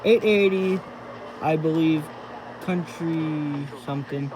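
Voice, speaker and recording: A man giving a casual hands-on product review, thinking aloud in a halting way as he demonstrates. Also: a little high, crowd noise. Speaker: amateur gadget reviewer. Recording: noisy homemade recording with radio static.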